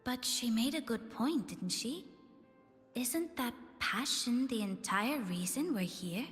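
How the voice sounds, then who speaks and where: A high young female voice, playing a curious and idealistic academy student in a game. It rings with long hall reverb over music. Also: expressive, takes it slowly. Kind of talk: video game side-character acting.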